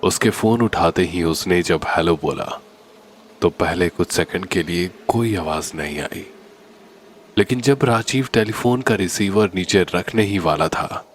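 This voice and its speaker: A man narrating a scripted horror story in a deliberate, suspenseful manner. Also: sits low, slight background noise.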